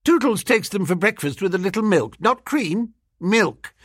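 A man voicing an eccentric whiskered fellow with brisk, insistent sales patter in an audiobook story. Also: high voice, lively intonation, husky, no room sound, studio quality, pitched above the performer's own voice. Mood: breezy, insistent.

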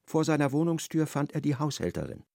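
A male narrator reading a literary radio drama with measured, expressive delivery. His gravelly voice moves lively through its range, and the recording is close and dry. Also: a little high, dry recording.

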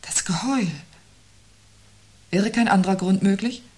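A woman's voice: medium pitch, measured pace, a little mellow, lightly acted. Actress as sober, guarded doomsday woman. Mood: sober, uneasy. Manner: terse, restrained, matter-of-fact. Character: sober, guarded woman facing the world's end. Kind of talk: 1970s German radio play acting.

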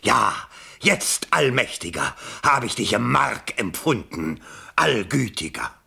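Pompous, agitated candidate, swooping and sharp. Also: male voice, mid-range voice, very animated, slow pace, gravelly, breathy edge, heavily acted. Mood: ecstatic pleading. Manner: exclamatory, self-dramatizing, obsessively repetitive. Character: pompous, agitated bourgeois election candidate, husband and father. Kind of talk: theatrical German radio play acting.

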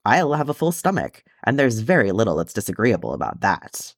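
A man's voice: fairly low pitch, wildly swooping pitch, fairly quick, no room sound, studio quality, full character voice. A man voicing a cheerful, food-loving young male hobbit in a storybook, chattering eagerly and self-importantly. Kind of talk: storybook character reading.

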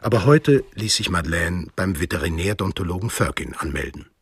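Deep, husky male radio-play narrator. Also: lively intonation, no echo, clean studio sound. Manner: measured, literary storytelling. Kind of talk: scripted radio drama narration.

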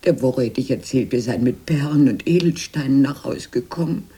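An actress playing an anxious, bitter, traumatised grown-up sister in a radio drama, in a husky, breathy, low voice with a fretful, accusing manner. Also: female voice, normal speed, warm tone, exaggerated voice. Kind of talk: 1980s German radio drama acting.

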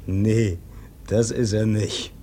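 Young coachman for radio drama: low, slow, terse and deadpan. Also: a little hiss or hum. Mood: stolid, dismissive. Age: young adult. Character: laconic, stolid young coachman in an inn. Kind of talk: German radio play acting.

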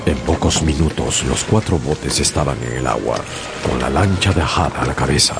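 A sailor narrates a sea tale in a radio play, measured and steady. His voice is extremely deep. Loud music runs beneath the narration. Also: creaky, heavily acted. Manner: measured, storytelling. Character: man, sailor recounting a whale hunt.

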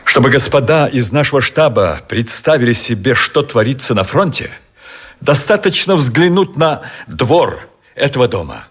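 A husky, disillusioned army general. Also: male voice, mid-range voice, sing-song, moderate pace, a bit bright. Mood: scornful, grim. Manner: formal military reporting, terse and scornful. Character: blunt, disillusioned army general reporting collapse at the front. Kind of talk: radio drama acting.

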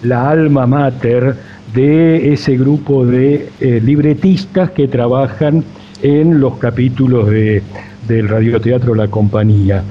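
A man hosts a radio interview at a slow pace. He phrases questions formally and at length, pausing often. The sound is dull with faint noise. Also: medium pitch, dark tone. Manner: deliberate, wordy, pausing.

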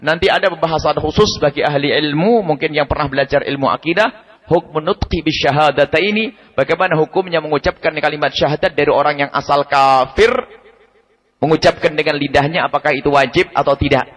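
A man giving a lively, emphatic religious study lecture, repeating his key points and chuckling now and then. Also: on the high side, brisk. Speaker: preacher. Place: study gathering hall. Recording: radio broadcast with long hall reverb.

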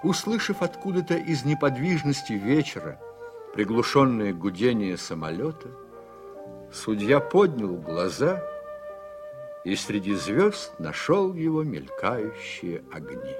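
A man giving measured, literary narration for a radio play. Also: medium pitch, big pitch swings. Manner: measured, descriptive. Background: music.

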